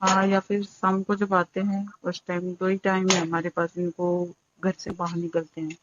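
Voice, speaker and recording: Woman on a private phone call, even flat intonation, muffled lo-fi recording. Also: lowish voice, normal speed, background noise. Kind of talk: casual phone conversation.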